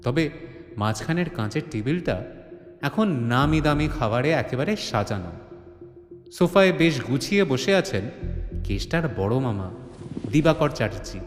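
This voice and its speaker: Animated male storyteller with echoing reverb. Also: mid-range voice, measured pace, long pauses. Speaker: narrator. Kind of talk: dramatic scripted story narration. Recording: echoing studio reverb with faint music bed.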